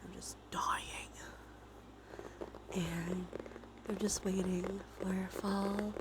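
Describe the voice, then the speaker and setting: A sniffly woman with a sore throat chatting softly on a cozy ASMR livestream, often dropping into whispers. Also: medium pitch, level tone, extremely slow, very noisy.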